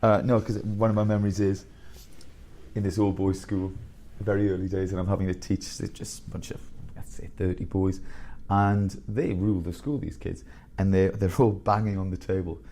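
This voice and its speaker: A man around fifty, a headmaster telling blunt, animated stories in a candid interview. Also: low-pitched, normal speed, slight background noise. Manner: blunt, animated storyteller with bursts of laughter.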